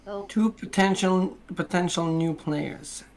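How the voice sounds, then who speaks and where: A game master chatting casually, halting with many uhs and teasing the others. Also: mid-range voice, crowd noise, low-quality recording. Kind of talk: casual chat between game sessions.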